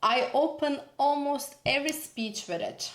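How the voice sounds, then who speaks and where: Woman, thirties, Turkish accent, unhurried and emphatic, echoey room. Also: high voice. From Turkey. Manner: earnest, emphatic, repeats for emphasis. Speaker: personal branding coach. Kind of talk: motivational talk to camera.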